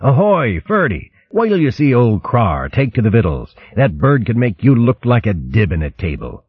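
A cheeky, jolly mouse thief played by a man, breezy and jokey, slightly raised from a low husky base. He sounds dry and a little muffled. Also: wildly swooping pitch, moderate pace, dry recording. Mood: jovial.

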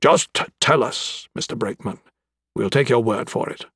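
A man voicing an impatient older High Sheriff in an audiobook, formal and curt. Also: moderate pace, creaky, fairly bright tone, husky, no room sound, clean studio sound, full character voice. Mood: curt, restraining.

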